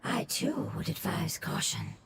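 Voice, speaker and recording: A woman voicing a solemn, cautious otherworldly creature in a video game, formal and measured, with a breathy, gravelly voice. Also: on the low side, wildly swooping pitch, heavy background noise, heavily acted. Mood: cautious, insistent. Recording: game voice under loud music.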